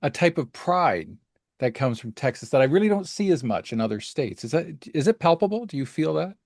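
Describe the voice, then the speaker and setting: A man's voice: fairly high pitch, very animated, a little fast, creaky, a little mellow, no room sound, studio quality. A man from Canada hosting a podcast interview, asking questions in a friendly, hesitant way with many fillers. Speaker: podcast host.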